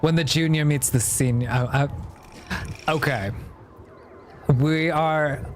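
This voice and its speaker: A man livestreaming a game, chatting casually and reading dialogue aloud in a playful, expressive, joking way. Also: fairly high pitch, slow, a little hiss or hum.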